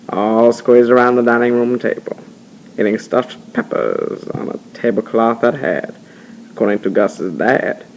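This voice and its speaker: An amateur reading a novel aloud in a halting, informal way, on a noisy home recording. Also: medium pitch. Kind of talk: amateur read-along narration of a novel.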